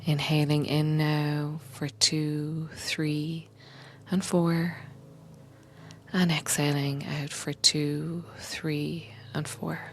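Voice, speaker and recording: Very slow, gentle woman guiding meditation. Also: low voice, little pitch movement, slight background noise. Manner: gentle, unhurried, often dropping to breathy whisper. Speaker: meditation guide and coach, podcast host. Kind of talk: guided meditation, soothing slow instruction.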